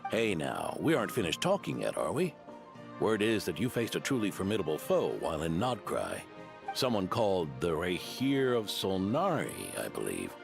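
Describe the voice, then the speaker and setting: The kindly old mentor knight in a fantasy anime game, deep and gravelly, who hands out advice in the village square. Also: male voice, wildly swooping pitch, moderate pace, over music, full character voice. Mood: probing. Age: older. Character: kindly semi-retired old knight, grandfatherly, gently wise. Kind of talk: anime-style video game dialogue.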